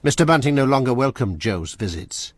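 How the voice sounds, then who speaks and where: A man narrating a radio drama in a measured, grave manner. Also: medium pitch, lively intonation, dry recording. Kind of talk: scripted narration.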